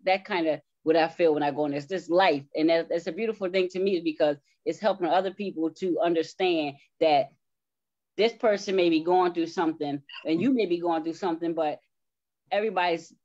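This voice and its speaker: Female interviewee, lowish pitch, earnest and emphatic, slightly muffled recording. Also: brisk, darkish tone. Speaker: stage play cast member being interviewed. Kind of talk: unscripted reflective answer. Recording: dull, lo-fi sound.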